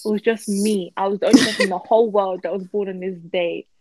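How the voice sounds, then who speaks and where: A woman's voice: medium pitch, fairly even intonation, fast, darkish tone, no room sound. Woman, twenty-one, bubbly giggly co-host. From London, England. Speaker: podcast co-host. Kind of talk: casual chat.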